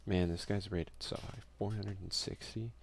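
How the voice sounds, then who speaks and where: A man softly giving casual ASMR let's play commentary, muttering offhand asides and reading game text aloud. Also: fairly low pitch, fairly even intonation, moderate pace, gravelly, slight background noise. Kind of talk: ASMR gaming commentary, soft-spoken and casual.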